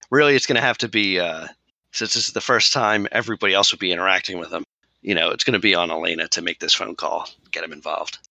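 Man, game master, gravelly, low-pitched, relaxed. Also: fast, dry recording, poor audio. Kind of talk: running a tabletop game.

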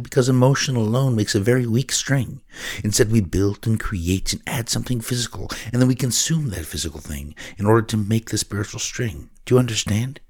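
Man voicing a grandmother, older, gentle, patient, soothing, explaining, storybook reading. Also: fairly low pitch, pitched above the performer's own voice.

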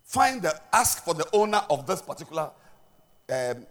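A man with a Ghanaian accent preaching a sermon as pastor, swinging between loud declarations and quiet asides and repeating words as he restarts. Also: a little high, sing-song, normal speed.

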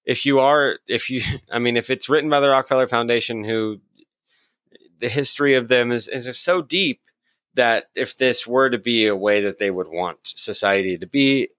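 Animated, jokey podcast co-host. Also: male voice, medium pitch, normal speed, no room sound. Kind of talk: casual podcast talk.

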